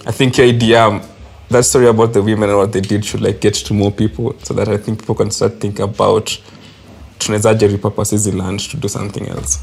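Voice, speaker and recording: Low, gravelly Kenyan man, fairly even. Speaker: workshop participant giving feedback. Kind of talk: casual discussion mixing English and Swahili. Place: in-person group table read. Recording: faint background room noise.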